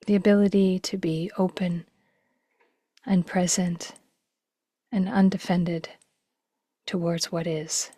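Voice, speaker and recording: A woman leading a guided meditation slowly, in a gentle, hushed, breathy voice with long pauses. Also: lowish voice, flat delivery, dry recording. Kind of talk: guided meditation, slow and spacious.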